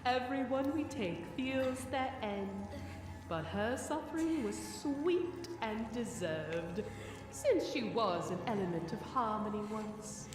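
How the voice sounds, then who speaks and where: A woman's voice: fairly high pitch, animated, takes it slowly. A woman voicing a gloating parasitic monster in an audio drama, theatrical and savoring its cruelty. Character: gloating parasitic monster wearing a dead pony's body. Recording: echoing, long reverb over music.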